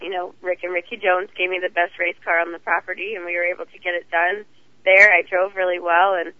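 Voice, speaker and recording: A hoarse woman with a cold, talking as the guest in a phone interview, chatty and rambling. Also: on the low side, level tone, fairly quick, dry recording. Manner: chatty, rambling, laughs easily. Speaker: guest champion driver. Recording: tinny phone line.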